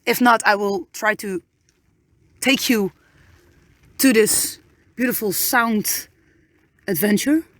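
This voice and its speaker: A woman's voice: medium pitch, takes it slowly, long pauses, fairly bright tone, no room sound. A young woman with a Dutch accent, a podcast host chatting casually and often restarting as she searches for words. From Netherlands. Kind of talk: casual solo podcast monologue. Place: inside a camper van in the rain.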